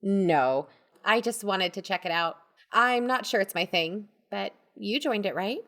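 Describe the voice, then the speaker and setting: A woman's voice: mid-range voice, expressive, a little slow, a bit bright. A woman playing a wary, protective aunt in an audio drama, confiding and dryly skeptical. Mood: guarded. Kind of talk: first-person audio drama narration.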